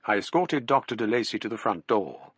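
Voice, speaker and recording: An actor playing a gentleman narrator in a radio drama, recounting a gothic mystery with a British accent. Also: lowish voice, lively intonation, fairly quick, slightly rough, dry recording. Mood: grave, reflective. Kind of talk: scripted first-person narration in radio drama.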